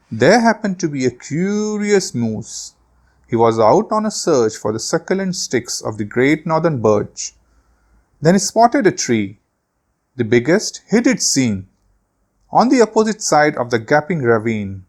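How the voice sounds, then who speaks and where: Animated male children's storyteller. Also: a little high, measured pace. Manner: animated, theatrical storytelling with rhetorical questions. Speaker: host of a children's read-aloud channel. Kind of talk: expressive storybook read-aloud for children.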